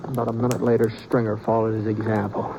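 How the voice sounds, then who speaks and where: Calm drawling gunman narrator, low voice, hissy old radio. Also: gravelly. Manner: slow, drawling, understated. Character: drifting male gunman, calm and plainspoken. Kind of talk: 1950s radio drama narration. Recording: old radio broadcast with hiss.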